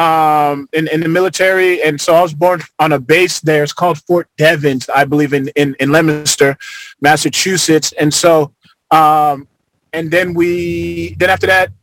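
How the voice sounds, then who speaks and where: High-voiced man chatting loosely on a podcast. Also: no room sound, rough recording. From Arizona, United States. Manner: relaxed, rambling, many fillers and restarts. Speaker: podcast guest, former football player. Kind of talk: casual podcast conversation.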